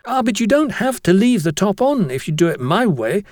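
A man voicing an earnest schoolboy patiently explaining how to keep ants from escaping, with a lively voice. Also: sits high, moderate pace, no echo, clean studio sound, voice pushed up. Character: eager schoolboy explaining an ant trap.

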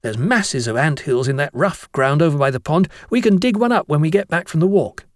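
A man doing an excitable schoolboy full of plans. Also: a little high, a little fast, no echo, clean studio sound, pitched above the performer's own voice, close to an everyday voice. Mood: eager. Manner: eager, enthusiastic.

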